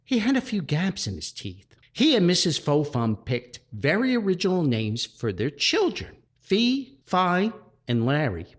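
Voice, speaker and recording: A man reading a comic story aloud as a podcast host, with theatrical pauses and emphasis. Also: high-pitched, wildly swooping pitch.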